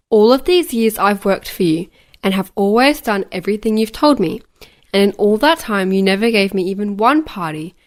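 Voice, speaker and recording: A woman reading a scripted Bible story for children. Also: medium pitch.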